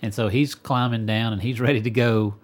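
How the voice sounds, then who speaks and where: A man's voice: mid-range voice, steady tone, fairly quick. A man co-hosting a religious radio program, speaking earnestly and repeating phrases for emphasis. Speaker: radio co-host and preacher. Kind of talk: religious talk radio discussion.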